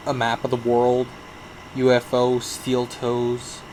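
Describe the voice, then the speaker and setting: A man with a mid-range voice talks through cast lists in relaxed podcast chat. He hesitates now and then. A steady hum runs clearly underneath. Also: mid-range voice, slow, low-quality recording. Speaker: podcast co-host. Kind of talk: casual movie review chat.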